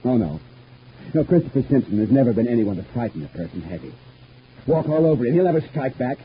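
A man's voice: mid-range voice, very animated, quick pace, lightly acted. An actor playing a disturbed, bitter, suspicious man in an old radio soap, pressing with insistent questions. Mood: bitter, sardonic. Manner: agitated, probing with insistent repeated questions. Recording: dull, noisy old radio recording.

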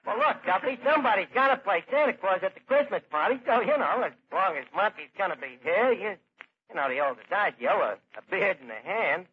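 An actor playing a wisecracking, blustering New York tavern manager in an old-time radio comedy, with slangy, hesitant patter. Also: male voice, sits high, expressive, brisk, slightly rough, exaggerated voice. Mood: chummy, wheedling. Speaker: comedy show lead. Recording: dull, noisy old radio recording.

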